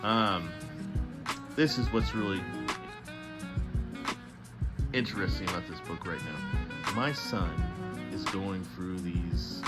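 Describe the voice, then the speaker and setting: A man's voice: low-pitched, a little slow, over loud music. A man hosting a storybook read-aloud, reading with dramatic emphasis and adding casual commentary. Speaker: host reading books aloud.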